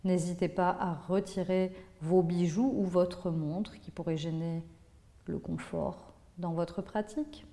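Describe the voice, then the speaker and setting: A woman teaching yoga nidra, guiding a relaxation in a measured, instructive way with pauses between phrases. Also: lowish voice, steady tone, mellow. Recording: long hall-like reverb on voice.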